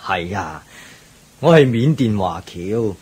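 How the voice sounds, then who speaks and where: Husky, hospitable Chinese merchant. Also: male voice, on the low side, expressive, slow, a little mellow, full character voice. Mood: friendly, informative. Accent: Cantonese, Hong Kong. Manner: polite, reassuring. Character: hospitable, devout middle-aged overseas Chinese shopkeeper. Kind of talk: radio drama acting.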